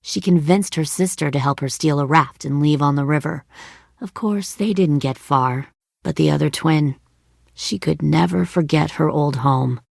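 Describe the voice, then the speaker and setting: A hardened female survivor leader from a video game drama, middle-aged and menacing. Her voice sits low with a heavy gravelly creak, captured very dry and close. She talks like someone used to giving orders and making threats. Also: moderate pace, darkish tone, no room sound. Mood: calm, bitter storytelling. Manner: cold, threatening, commanding.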